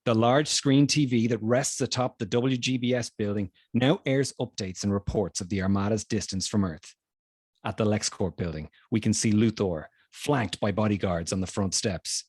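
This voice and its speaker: Guy narrating a screenplay, raspy, lowish, dry recording, table read. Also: moderate pace, a little mellow, no room sound. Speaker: stage-direction narrator.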